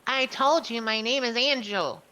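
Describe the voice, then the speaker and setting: A woman's voice: on the high side, animated, measured pace, sharp tone, voice pushed up, low-quality recording. A woman voicing a defiant, sassy human captive in a visual novel, unimpressed and teasing.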